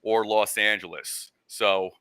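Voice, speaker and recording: A man hosting a casual interview, feeling his way through long, halting, rambling questions. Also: lowish voice, fairly even intonation, pushed and bright, clear, resonant tone, dry recording. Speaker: interviewer, host.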